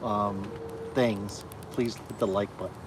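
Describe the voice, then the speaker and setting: A man's voice: on the low side, fairly even intonation, takes it slowly, slight background noise. A man co-hosting a livestream in casual chat, hesitant and often repeating and restarting his words. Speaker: co-host.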